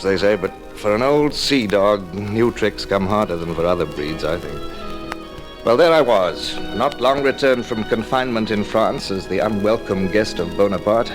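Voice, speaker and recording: An actor playing a proud, restless British sea captain in an old radio drama, wry and theatrical. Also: male voice, on the low side, close to an everyday voice. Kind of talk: 1940s-50s radio drama acting. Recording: vintage radio drama recording.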